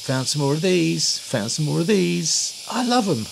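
A man's voice: on the high side, sing-song, measured pace, gravelly. A man filming a casual travel video diary, chatting and joking to the camera with gushing exclamations, recorded on a camcorder mic outdoors and in a cave. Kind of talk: casual handheld commentary. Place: outdoors in rainforest and inside a cave. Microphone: built-in camcorder mic. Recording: hissy noisy camcorder audio.

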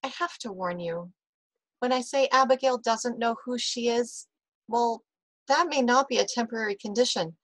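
A woman playing a kindly, frank veteran nurse in a period radio mystery, reassuring and measured. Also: on the high side, no echo, natural voice, rough recording. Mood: grave, careful. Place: indoor video call.

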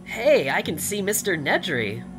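A woman's voice: fairly low pitch, big pitch swings, unhurried, over music, low-quality recording. A woman playing an observant, earnest child in an audio drama. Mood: alert, earnest. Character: observant, earnest child watching through night goggles.

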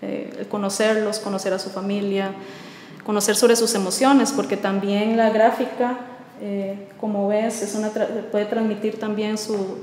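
A woman, answering interview questions thoughtfully with many filler pauses. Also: mid-range voice, level tone, darkish tone, a little hiss or hum. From Costa Rica. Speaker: artist in residence. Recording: roomy echo with long reverb.

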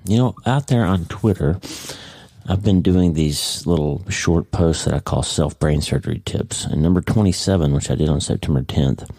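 Very low voice, congested man, dark mellow tone, dry close sound, chatty podcast host. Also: normal speed, slightly rough, no room sound. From United States. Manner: warm, chatty, leaves in his own slips. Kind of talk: casual spoken podcast monologue. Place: home, early morning.

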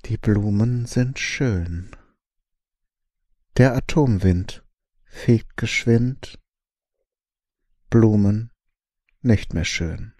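Dark, very slow man reading a poem. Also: fairly low pitch, no room sound, studio quality. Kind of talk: scripted poetry reading.

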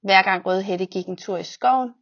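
A young woman, a children's physiotherapist, reading a fairy tale aloud in a large exercise room, lively voices for the characters. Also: medium pitch, lo-fi sound. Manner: lively voices for the wolf and girl.

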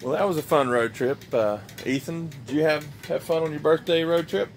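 A middle-aged man filming a casual road-trip vlog outdoors at night, retelling the day in a relaxed, chatty way. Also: on the high side, fairly quick, music in the background. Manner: relaxed, chatty, loose storytelling. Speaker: vlogger on a baseball road trip. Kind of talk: casual chat. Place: outdoors beside a ballpark brick wall at night.

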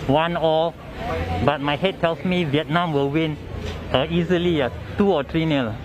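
A man's voice: on the high side, steady tone, measured pace. A man, a football fan, answering hesitantly and thoughtfully into a reporter's mic outdoors. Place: outdoors near a stadium. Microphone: handheld mic held out by reporter. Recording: noisy street outdoors.

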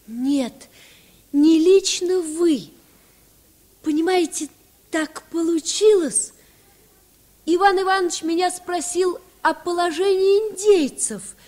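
A woman's voice: extremely high pitch, slow pace, long pauses, darkish tone. A woman playing an eager, flustered schoolboy who explains earnestly in a mid-century Soviet children's radio play.

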